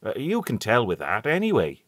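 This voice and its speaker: A man's voice: medium pitch, wildly swooping pitch, normal speed, a bit bright, dry recording, pitched above the performer's own voice. A man voicing a grave, superstitious old shepherd who talks in a broad rustic dialect. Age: older. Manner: broad country dialect, ungrammatical rustic speech.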